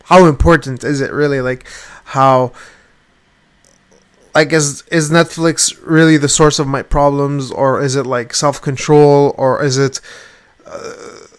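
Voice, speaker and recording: A man talks to his webcam from his desk in a loose, rambling rant. English is not his first language, and he swears freely, stumbles and restarts his phrases again and again. His pitch sits in the middle for a man. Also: steady tone, unhurried, smooth. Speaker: vlogger.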